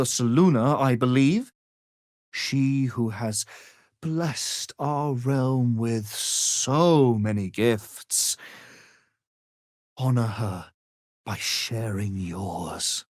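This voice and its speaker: A man's voice: on the high side, no room sound, studio quality. A man playing a haughty, scheming wizard in a fantasy video game, with a pompous, theatrical, condescending manner. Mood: smoothly coaxing. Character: haughty, scheming adult male wizard, vain and menacing.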